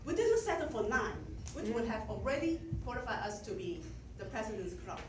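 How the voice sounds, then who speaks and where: A middle-aged woman with a Chinese accent gives a measured, self-deprecating prepared speech to her club as outgoing president. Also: fairly high pitch, big pitch swings, noisy background. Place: meeting room with audience. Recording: echoey room from a distance.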